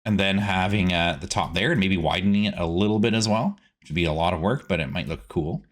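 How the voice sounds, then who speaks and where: A man giving casual gameplay commentary as a streaming host, chatty and animated, rambling as he goes. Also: deep voice, fast.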